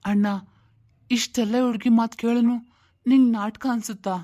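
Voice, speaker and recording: A woman's voice: a little high, little pitch movement, normal speed, warm tone, natural voice. A woman voicing an earnest, compassionate younger brother who pleads emphatically in a cartoon family drama. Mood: pleading. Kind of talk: dramatic cartoon story dialogue.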